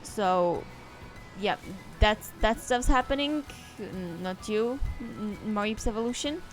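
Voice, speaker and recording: A woman's voice: mid-range voice, animated, takes it slowly, soft background music, low-quality recording. Chatty woman streamer. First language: Czech. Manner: rambling and chatty, trails off into asides. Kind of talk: casual let's-play commentary.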